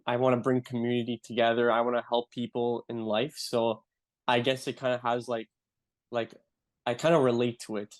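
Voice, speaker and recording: A young adult man from Canada with a Canadian accent, a guest in a casual interview, talking in a relaxed way and saying "like" often. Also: medium pitch. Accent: Canadian English. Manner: relaxed, filler-heavy, says "like" often.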